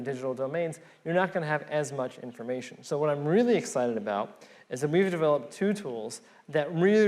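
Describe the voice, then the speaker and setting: A man from Pakistan whose first language is Urdu, speaking on a conference panel with many fillers and restarts. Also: fairly high pitch.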